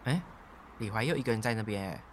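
A man's voice: lowish voice, lively intonation, fast, slight background noise, close to an everyday voice. A young man playing a chatty teen classmate. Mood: eager. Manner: chatty, eager. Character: chatty, teasing teenage boy classmate.

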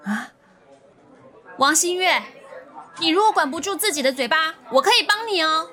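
A woman playing a feisty, expressive schoolgirl in a radio drama, full of girlish interjections. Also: very high voice, moderate pace, full character voice. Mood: defiant.

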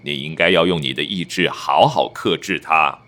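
Man playing a low-voiced, patronising young husband. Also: normal speed, sharp tone. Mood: tender, condescending. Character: patronising, controlling young husband, outwardly tender. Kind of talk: audio drama acting.

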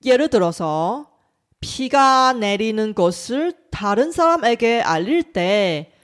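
Female language teacher, Taiwanese accent, native Chinese speaker. Highish pitch, unhurried scripted delivery for an educational episode. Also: lively intonation.

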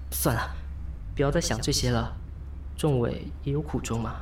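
A man's voice: mid-range voice, unhurried, a little hiss or hum, lightly acted. Actor as a wry, lovesick young Taiwanese man. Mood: wistful, resigned. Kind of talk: radio drama acting with inner monologue.

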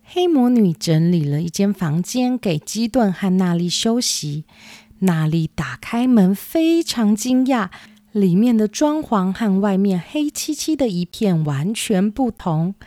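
A woman's voice: medium pitch, lively intonation, a little slow, dark tone, dry recording. A woman narrating a scripted children's story as the storyteller.

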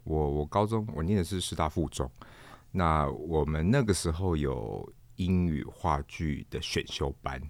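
A man with a Taiwanese accent answering casually as an interview guest, halting and repeating words as he thinks. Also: extremely low pitch, animated, gravelly, darkish tone, dry recording. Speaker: interview guest, stage performer.

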